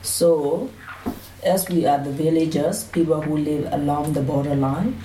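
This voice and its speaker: A low, mellow female voice with a Burmese accent, a native Karen speaker. She tells her personal story in an interview, plainly and a little hesitantly, often adding "you know". The recording is roomy and echoey, picked up from a distance. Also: steady tone, a little slow. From Burma, Karen community. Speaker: interview guest. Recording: roomy echo, distant mic, faint noise.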